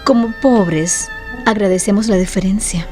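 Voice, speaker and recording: An actress plays a frank, proud woman novelist in a radio drama. Her voice is mid-pitched and mellow, and she phrases her irony with care. Music can sit behind her words. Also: unhurried, natural voice. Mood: bitter irony. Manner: ironic, articulate.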